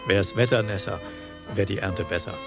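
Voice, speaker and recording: A man's voice: deep voice, moderate pace, vocal fry, over music. An actor playing a resigned old countryman in a radio play, with a plain, homely way of talking. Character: old male village neighbour, resigned countryman. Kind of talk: German radio drama acting.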